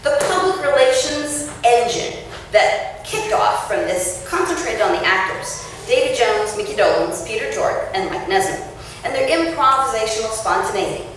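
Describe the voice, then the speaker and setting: Lady author reading her essay out loud at an event, really animated, mic far off w/ a bit of noise. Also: a little high, normal speed. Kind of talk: public reading of a written essay. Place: indoor launch-party event space. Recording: roomy echoing event space, distant mic, faint noise.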